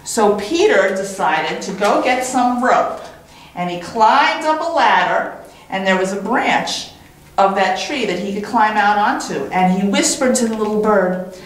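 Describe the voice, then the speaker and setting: A woman reading a children's story as a teacher, in an expressive, animated way with dramatic pauses and repetition. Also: mid-range voice. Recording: very echoey room.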